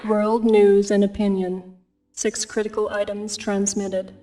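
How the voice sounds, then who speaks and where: A woman's voice: medium pitch, unhurried, far from the mic, music in the background, natural voice. A woman voicing a cool, impersonal military computer with terse, clipped announcements in a game. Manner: flat, clipped.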